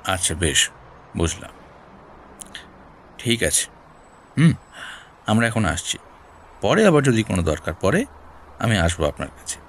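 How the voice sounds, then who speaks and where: An actor playing a shrewd, persistent senior detective in an audio drama, questioning in a deliberate, probing way. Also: male voice, fairly low pitch, very animated. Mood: calm, firm. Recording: music bed behind voice.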